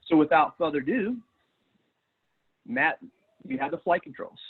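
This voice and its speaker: A man's voice: a little high, lively intonation, moderate pace, slightly rough. A man hosting a webinar, delivering a structured safety briefing over an online call. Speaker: webinar host introducing a guest. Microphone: online video call. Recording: thin, phone-like webinar connection.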